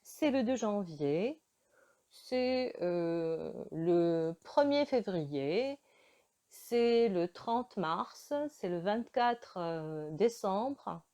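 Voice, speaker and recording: Woman teacher, slow and mellow, patient beginner lesson with pauses. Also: mid-range voice, animated, clear, resonant tone. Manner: slow, careful teaching delivery with frequent hesitations. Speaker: French teacher.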